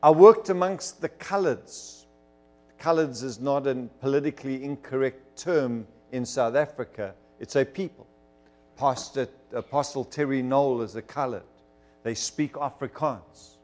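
A man's voice: medium pitch, measured pace, a little mellow, faint music behind. A middle-aged man from Zimbabwe, preaching a sermon as emphatic storytelling with bursts of fervor. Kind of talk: sermon testimony to a congregation.

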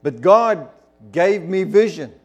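A man's voice: high-pitched, animated, slow pace, darkish tone, lo-fi sound. A middle-aged man from Zimbabwe, preaching a sermon as emphatic storytelling with bursts of fervor. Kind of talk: sermon testimony to a congregation.

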